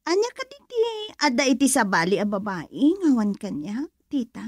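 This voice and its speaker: High-pitched, pouty young Ilocano woman. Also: sing-song, normal speed, husky, no room sound, clean studio sound. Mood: hurt, pouting. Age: young adult. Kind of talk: Filipino radio drama acting.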